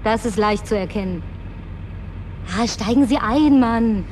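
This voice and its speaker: Actress as a brusque, high-voiced woman survivor. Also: measured pace, noisy background, close to an everyday voice. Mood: dry, brisk. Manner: snappish, mocking, bossy. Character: brusque, sarcastic woman survivor in deserted New York. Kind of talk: radio play acting.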